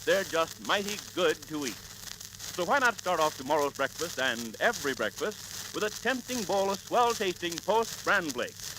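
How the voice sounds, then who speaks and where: A man delivering a hearty, emphatic sponsor pitch as a 1930s radio announcer, in a folksy style. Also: big pitch swings, moderate pace. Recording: crackly, noisy 1938 radio transcription disc.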